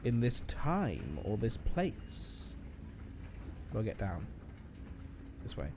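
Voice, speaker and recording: A man's voice: on the low side, huge pitch swings, takes it slowly, background noise. A man streaming a game, chatting casually with wry joking asides.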